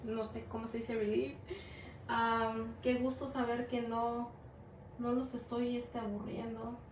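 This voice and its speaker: A woman's voice: on the high side, level tone, a little slow, slight background noise. Chatty Chicana woman teaching, echoey room. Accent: Mexican-American Spanish. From United States. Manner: rambling and chatty, many fillers, mixes in English words. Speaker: gelatin art teacher. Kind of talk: casual hands-on class demonstration. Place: indoor work table. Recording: echoey room with faint ambience.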